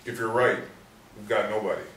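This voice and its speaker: A man's voice: on the low side, level tone, brisk, a bit bright, natural voice. Man playing jaded police sergeant, roomy recording. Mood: exasperated. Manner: blunt, jaded. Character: weary, cynical, blunt police sergeant. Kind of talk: stage play reading. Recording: roomy distant stage recording, faint noise.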